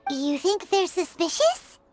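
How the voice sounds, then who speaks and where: A woman voicing a small, childlike fairy companion in a video game, bubbly and inquisitive, with a squeaky voice. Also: sing-song, takes it slowly, full character voice. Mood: curious. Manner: bubbly, questioning, exclaiming. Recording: loud music behind the voice.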